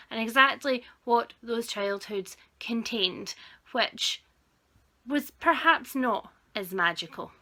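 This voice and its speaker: A young woman talks through her book haul in a chatty, enthusiastic way, her voice sitting a little high and sounding bright and forward. She is congested from a sinus problem, so there is a croaky edge to her voice. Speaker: book vlogger. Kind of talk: casual haul video.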